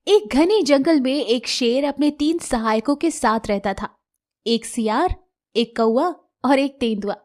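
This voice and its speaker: Female narrator, high register, scripted storytelling for children's fables. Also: animated, a little slow, a little mellow.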